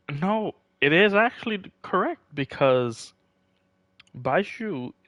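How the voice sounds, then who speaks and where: A man's voice: fairly high pitch, a bit bright, no room sound, studio quality. A man casually chatting on a game livestream, rambling and correcting himself as he goes. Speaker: game streamer.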